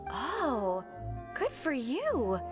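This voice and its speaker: Aloof catty young game girl, casual, a bit high, lots of swoops. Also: wildly swooping pitch, drawn-out words, music in the background, full character voice. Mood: nonchalant. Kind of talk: video game voice acting.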